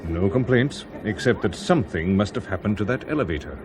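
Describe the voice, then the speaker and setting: An actor playing a loyal, experienced airline chief in a radio drama, with a clipped, emphatic and assured manner. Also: male voice, fairly low pitch, expressive, fairly quick, noisy background, close to an everyday voice. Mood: dry, polite. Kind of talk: BBC radio drama acting.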